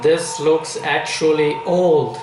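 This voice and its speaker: A male reaction host with a slightly high voice talks very slowly, choosing formal phrases with care. The room echoes and music plays behind him. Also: fairly even intonation. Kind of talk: unscripted reaction commentary.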